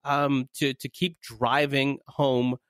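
A man co-hosting a political panel chat, talking in an animated way and pressing his co-hosts with repeated questions. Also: medium pitch, takes it slowly, no echo, studio quality. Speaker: co-host.

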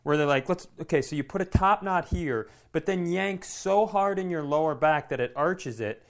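A man who works as a comedian, co-hosting a podcast with animated, exaggerated, sweary storytelling in casual banter. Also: fairly high pitch, moderate pace.

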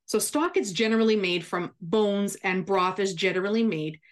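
A woman's voice: mid-range voice, normal speed. Woman explaining things in a chatty way. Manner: chatty, instructive, checks in with "right?". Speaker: cooking expert guest. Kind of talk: explanatory radio chat.

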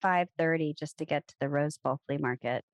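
A woman's voice: low-pitched, fairly quick, dry recording. A woman chatting casually with a friend and co-worker, rambling and breaking off her sentences. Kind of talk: casual chat.

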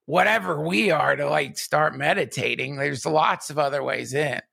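Gravelly, husky middle-aged man. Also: a little high, moderate pace, no echo, studio quality. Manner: animated, rambling with many restarts. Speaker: podcast co-host. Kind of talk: casual conversation.

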